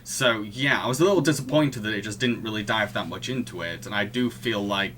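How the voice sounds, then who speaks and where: A man's voice: on the low side, brisk, a bit bright, a little hiss or hum. A young adult man hosting a film discussion podcast, talking through plots and opinions. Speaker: podcast host. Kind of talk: film discussion, partly read-out plot summary.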